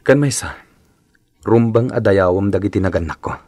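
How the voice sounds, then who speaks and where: A man's voice: fairly low pitch, normal speed, darkish tone, no room sound. A man playing an aggrieved, resolute husband in a radio drama, firm and reproachful.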